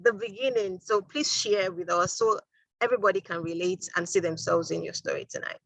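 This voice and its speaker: A woman hosting a live online interview, upbeat and lively, with fillers. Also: mid-range voice, fairly quick, gravelly, no room sound, low-quality recording. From Nigeria. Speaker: host.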